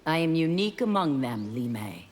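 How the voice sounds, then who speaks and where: A solemn demon seeking redemption in a fighting game. Her low voice speaks formally and earnestly. A long reverb trails each phrase. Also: sing-song, moderate pace, a little mellow, slightly rough, full character voice. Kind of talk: scripted fighting game dialogue.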